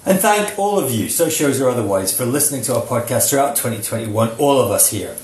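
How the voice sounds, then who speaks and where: A man with a mid-pitched voice hosts a podcast in a measured, courteous way. His voice sits in a roomy, echoey space. A faint background noise runs behind him. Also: expressive, darkish tone. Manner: measured and courteous, giving thanks. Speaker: podcast host. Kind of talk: hosting a podcast wrap-up.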